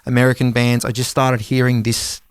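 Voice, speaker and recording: A man in his thirties with a clear, smooth voice and an average pitch. He talks as a podcast co-host, rambling and swearing casually, recorded very dry with no room sound. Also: completely flat delivery, brisk, no echo. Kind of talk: casual music podcast chat.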